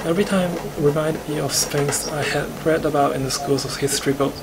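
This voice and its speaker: A man reading visual novel narration aloud on a live stream, haltingly and with stumbles. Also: fairly high pitch, steady tone, noisy background. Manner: halting, stumbling over the script.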